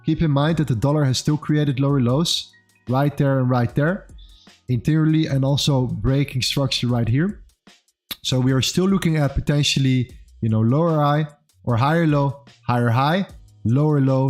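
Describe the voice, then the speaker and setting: A man's voice: mid-range voice, normal speed, darkish tone, faint music behind. Upbeat man in his twenties, livestream host. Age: 20s. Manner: upbeat, says "amazing" and "bro" often. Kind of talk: casual livestream chat and market commentary.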